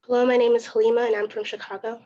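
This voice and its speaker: A woman's voice: fairly high pitch, flat delivery, rapid-fire, mellow, muffled recording. A young woman reading a prepared presentation over a video call, correcting herself now and then. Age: young adult. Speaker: youth ambassador presenter.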